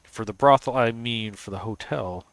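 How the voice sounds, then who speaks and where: A man's voice: mid-range voice, moderate pace, dry recording, poor audio. A man with a cold, stuffy and sniffly, casually thinking aloud as he builds in a game on a live stream. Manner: thinks aloud, muttering to himself and chuckling.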